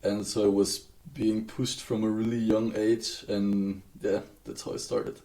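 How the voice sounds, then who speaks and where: A young man from Belgium, a guest musician in a casual interview, speaking in a relaxed way with hesitant fillers. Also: lowish voice, a little slow, creaky, rough recording.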